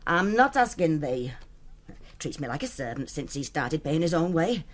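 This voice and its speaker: A woman's voice: low-pitched, very animated, moderate pace. An actress playing a nagging but fond middle-aged working-class mother with a Northern English accent in a radio drama. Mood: nagging, exasperated. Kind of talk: naturalistic British radio drama acting.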